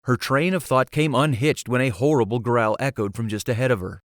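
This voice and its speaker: A man's voice: medium pitch, a little fast, no room sound, clean studio sound. A man reading scripted fantasy storybook narration as an audiobook narrator.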